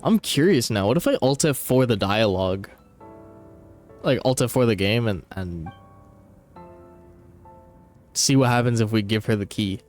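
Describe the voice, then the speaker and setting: A man's voice: fairly low pitch, expressive, a little slow, pauses often, a little mellow. A man doing casual, profanity-laced live game commentary and banter, with a blunt, teasing, slangy manner. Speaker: game streamer. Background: music.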